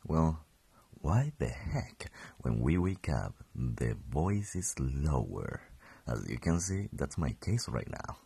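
Gravelly deep-voiced young man, just woken. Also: sing-song, slow, noisy background. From Colombia. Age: 20s. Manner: rambling, self-correcting, chuckling. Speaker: podcast host. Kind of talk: casual solo podcast.

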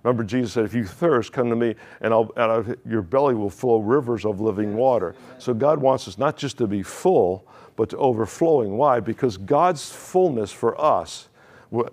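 A man's voice: mid-range voice, animated, moderate pace, a little mellow. A male pastor preaching a sermon in a conversational way, asking the congregation questions and often restarting his phrases.

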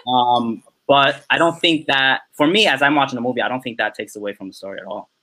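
A man's voice: medium pitch, quick pace, sharp tone. A young man chatting as a podcast guest, rambling and filling his talk with "you know" and "um". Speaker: podcast guest, film assistant director. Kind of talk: casual interview chat.

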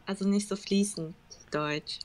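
A woman's voice: medium pitch, measured pace, rough recording. A woman in her twenties, raised in Germany with Japanese as her native language, answering interview questions casually and hesitantly with many fillers. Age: 20s.

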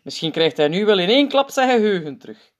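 A woman's voice: mid-range voice, big pitch swings, fast, pitched above the performer's own voice, lightly acted. A woman voicing a cheeky, joking male helper who speaks in a mischievous, whispering way.

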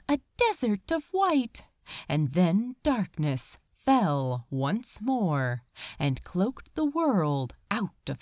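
Female amateur audiobook reader, lively melodic delivery, unhurried dramatic pauses, dry close sound. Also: mid-range voice, no echo. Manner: measured, expressive storytelling with dramatic pauses. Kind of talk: dramatic scripted narration of fan fiction.

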